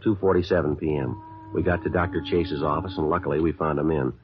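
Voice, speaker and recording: An actor playing a stoic, deadpan police sergeant in a 1950s radio crime drama, polite and clipped. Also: male voice, very deep voice, fairly quick, loud music behind the voice. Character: stoic, businesslike police sergeant narrating his case. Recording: dull old radio recording.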